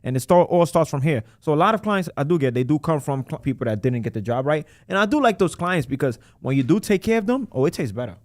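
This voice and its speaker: Fast-talking guy, mid-pitch, close dry mic, keeps mimicking his callers. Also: no echo. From United States. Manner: animated, acts out callers in quick voices. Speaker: credit repair business owner. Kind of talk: casual interview talk.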